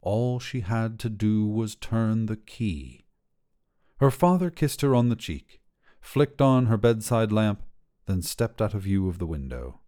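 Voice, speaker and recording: A man reading a short story as its narrator, with a measured, literary storytelling delivery. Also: fairly low pitch, animated, warm tone. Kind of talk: scripted fiction narration, storytelling.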